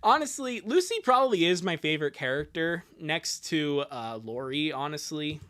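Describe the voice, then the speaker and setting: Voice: medium pitch, expressive, normal speed, fairly bright tone. A streamer chatting casually between dub readings, rambling and exclaiming with giggly joking asides.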